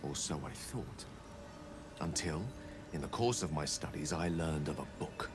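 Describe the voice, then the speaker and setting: An actor playing a learned, earnest wizard in a fantasy video game, speaking in florid, measured, dramatic phrases. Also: male voice, very deep voice, expressive, over music. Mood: wistful, confessional. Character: learned male wizard, eloquent, theatrical, earnestly remorseful.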